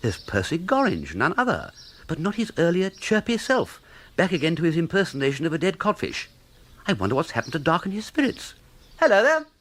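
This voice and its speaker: Bright, breezy upper-class English young toff. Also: male voice, on the high side, wildly swooping pitch, brisk, heavily acted. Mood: musing. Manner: breezy, flippant, effusive slangy speech. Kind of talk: radio comedy drama acting.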